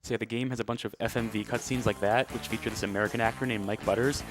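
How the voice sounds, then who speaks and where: A man's voice: lowish voice, fairly even intonation, very fast. A man giving casual, hesitant and understated live commentary as he plays through a video game. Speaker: speedrunner playing and commentating. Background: loud game music.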